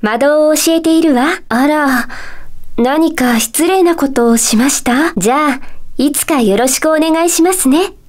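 A woman voicing a polite, gentle and mysteriously calm magic teacher in a video game, with composed, kindly phrasing. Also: high-pitched, measured pace. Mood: encouraging, composed. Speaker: teacher character in a puzzle game. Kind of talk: Japanese video game character dialogue.

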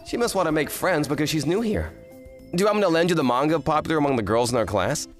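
A woman voicing an earnest, kind teenage boy, an excitable manga fan, in an anime-style romantic comedy dub. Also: low voice, fast talker, faint music behind. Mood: friendly, eager. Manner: earnest, exclamatory.

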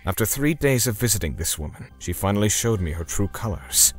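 A man's voice: on the low side, animated, fairly quick, lightly acted. An actor voicing a solemn, menacing torturer who narrates his vengeance in a dramatic manga dub. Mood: measured. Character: grim, self-righteous male torturer seeking vengeance for victims. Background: music.

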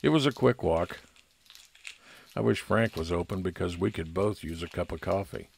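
Deep, gravelly man narrating detective fiction. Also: normal speed, slight background noise. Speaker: narrator playing a private investigator. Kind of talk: scripted first-person narration, old-time radio detective style.

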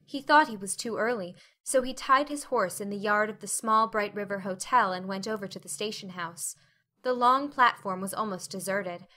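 A woman's voice: mid-range voice, pushed and bright. A woman reading a classic novel aloud as an audiobook narrator, in a scripted, dramatic storytelling style.

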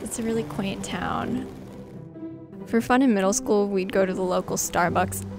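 Young american woman, kinda fry-heavy voice, reflective interview style, loud music under it. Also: mid-range voice, moderate pace. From New Jersey, United States. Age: around 20. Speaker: college student interviewee. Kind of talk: reflective personal interview narration. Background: prominent music.

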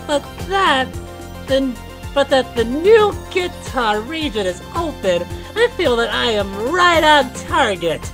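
A woman's voice: high voice, big pitch swings, slow pace, music in the background, heavily acted. A woman voicing a naive, cocky young man in an amateur comic dub, with a melodramatic manner. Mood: self-satisfied. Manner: melodramatic, self-satisfied.

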